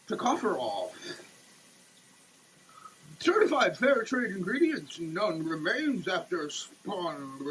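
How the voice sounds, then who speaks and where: A man performs a theatrical dramatic reading in a high voice that swings widely in pitch. His delivery is halting and over-emphatic, played for comedy. There is light ambient noise in the recording. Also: fairly bright tone, poor audio. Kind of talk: dramatic reading of a product label.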